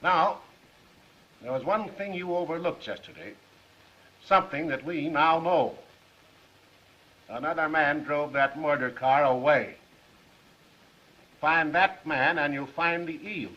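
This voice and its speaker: An actor playing a shrewd district attorney in a 1930s film, questioning a suspect with deliberate, mock-polite insistence. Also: male voice, on the high side. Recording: muffled 1930s film soundtrack.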